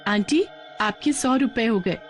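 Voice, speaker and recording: Woman doing a sweet little cartoon girl, high polite kid voice, music under it. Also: unhurried, natural voice. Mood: polite, protesting. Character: sweet young girl, trusting little sister. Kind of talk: Hindi cartoon story dubbing. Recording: music behind the voice.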